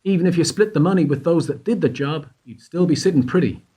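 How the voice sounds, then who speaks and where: An actor playing a shrewd investigator in a radio play, interrogating in a deliberate, sardonic, accusing way. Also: male voice, on the high side, dark tone, husky. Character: shrewd, sardonic male investigator grilling a suspect.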